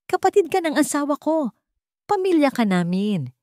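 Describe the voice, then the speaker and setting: A woman's voice: high-pitched, very animated, moderate pace, a little mellow, no room sound, clean studio sound, voice pushed up. A woman voicing a betrayed widowed mother in an audio story, pleading and emotional. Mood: anguished.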